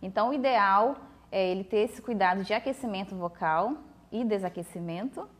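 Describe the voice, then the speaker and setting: A woman's voice: mid-range voice, moderate pace. A woman with a Brazilian Portuguese accent, a speech therapist explaining voice care on a TV talk show in a patient way. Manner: patient, explanatory, uses many fillers like "né" and "tá". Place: TV studio.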